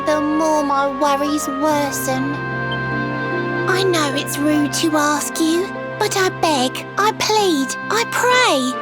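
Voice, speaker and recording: Very high-voiced lovelorn mermaid maiden. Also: female voice. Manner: speaks in sing-song rhyming verse. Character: lovelorn mermaid maiden, sweet, earnest, theatrical. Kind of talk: video game cutscene acting. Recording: loud music behind. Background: loud game music.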